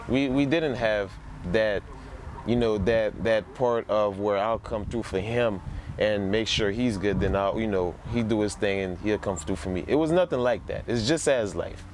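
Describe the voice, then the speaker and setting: A man's voice: fairly low pitch, a little mellow, slight background noise. A man from New Orleans talks casually and enthusiastically as a presenter, repeating words for emphasis. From New Orleans, United States. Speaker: presenter introducing a music production project. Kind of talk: casual informal talk to camera. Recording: music playing behind the voice. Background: music.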